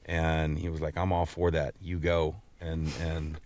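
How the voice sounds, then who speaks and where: This is a male podcast host with a low voice and a close, dry sound. He chats casually and haltingly, circling back over words as he works toward his point. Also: monotone, darkish tone, no echo. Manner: halting, repeats words as he explains. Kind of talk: casual chat.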